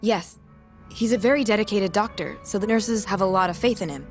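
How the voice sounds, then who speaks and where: A woman's voice: mid-range voice, a little fast, faint music behind, lightly acted. A woman playing an earnest, guarded young nurse in an English-dubbed game drama, speaking precisely and formally.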